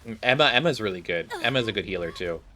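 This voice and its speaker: A man's voice: on the low side, animated, fast, bright, forceful tone, slight background noise. A man giving casual, off-the-cuff commentary on a game, rambling and thinking aloud as he plays. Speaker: gaming video creator.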